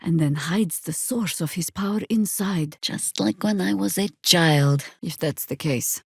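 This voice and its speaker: Slow-spoken, stern old warrior druid. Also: female voice, on the low side, gravelly, breathy edge, no room sound, heavily acted. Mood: grave, measured. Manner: measured, dry, commanding. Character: weathered old female Harper druid warrior, stern, wry. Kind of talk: dramatic video game voice acting.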